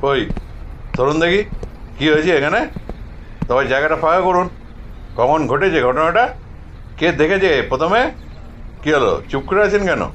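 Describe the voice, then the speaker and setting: An actor playing a stern, commanding police officer in an audio drama, brusque and interrogating, in slightly dull sound. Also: male voice, fairly high pitch, normal speed, long pauses, fairly bright tone, a little hiss or hum, close to an everyday voice. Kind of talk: Bengali audio drama acting.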